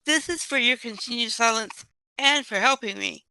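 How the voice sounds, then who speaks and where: A woman playing a brisk, commanding, scheming inspector in an audio play. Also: on the high side, creaky, bright, forceful tone, slight background noise, full character voice, poor audio. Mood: authoritative.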